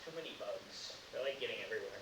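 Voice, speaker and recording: A man speaking casually as a game master, narrating haltingly and often searching for words. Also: fairly low pitch, bright, forceful tone. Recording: roomy echo, dull lo-fi sound, faint hiss.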